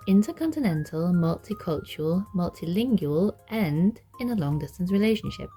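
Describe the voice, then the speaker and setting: A woman from Denmark narrates her vlog in a measured, clear way. Her voice sits a little low and has a warm, mellow tone. Soft music plays faintly behind her. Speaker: vlogger, channel host. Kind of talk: scripted personal vlog narration.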